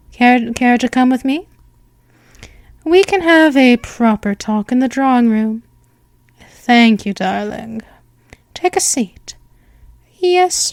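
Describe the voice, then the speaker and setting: A woman's voice: a little high, darkish tone, dry recording. A woman voicing an ancient, seductive female vampire mistress in a hypnosis roleplay, talking in a soothing, coaxing way. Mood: curious, aloof.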